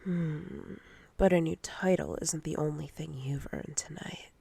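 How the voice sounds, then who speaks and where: A woman playing a dominant, possessive female boss in roleplay ASMR, commanding and teasing in a breathy, often whispered voice. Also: deep voice, fairly even intonation, mellow, no room sound, full character voice. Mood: seductive, controlling. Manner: slow, deliberate, commanding.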